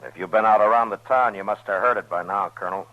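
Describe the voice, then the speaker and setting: An actor playing a grave, weary frontier marshal in an old-time radio western, speaking tersely and somberly. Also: male voice, on the low side, quick pace, narrow, tinny audio, close to an everyday voice. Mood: stern, matter-of-fact. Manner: terse, somber, plain-spoken.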